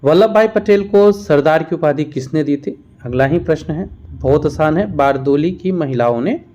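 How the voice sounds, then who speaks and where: A man's voice: fairly high pitch, lively intonation, normal speed, dark tone, highs cut off, slight background noise. A man teaching an exam revision lesson, reading out questions and explaining each answer. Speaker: teacher for competitive exam preparation.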